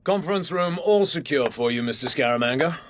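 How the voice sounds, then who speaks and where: An actor playing a cool British secret agent undercover, with a husky English voice, in a radio drama. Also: male voice, fairly high pitch, expressive, brisk, a little hiss or hum, close to an everyday voice. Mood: brisk, deferential.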